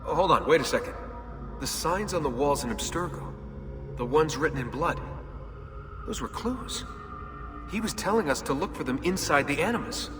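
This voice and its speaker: An actor playing a quick-thinking man in naturalistic video game dialogue. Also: on the high side, expressive, natural voice. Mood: dawning realization. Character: quick-thinking man working out hidden clues. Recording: very reverberant, loud music behind.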